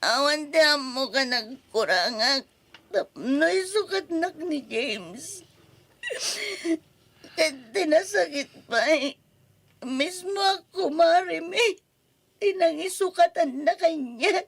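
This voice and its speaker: High, creaky-voiced heartbroken young mother. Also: animated, slow pace, long pauses, heavily acted. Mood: anguished. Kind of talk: old radio drama acting.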